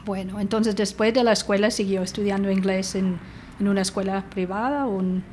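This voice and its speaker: A woman's voice: medium pitch, steady tone, brisk, a little mellow, a little hiss or hum. A woman hosting a podcast who interviews her guest with short, encouraging prompts and questions. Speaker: podcast host.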